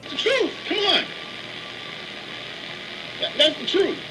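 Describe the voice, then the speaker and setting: Wildly animated high-voiced man on radio. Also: slow pace. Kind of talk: fervent radio speech. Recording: very noisy radio reception.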